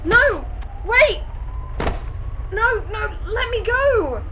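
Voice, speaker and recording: A woman's voice: very high-pitched, expressive, very slow, background noise, exaggerated voice. A woman playing a caring, teasing young shop worker in an amateur radio drama. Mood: terrified.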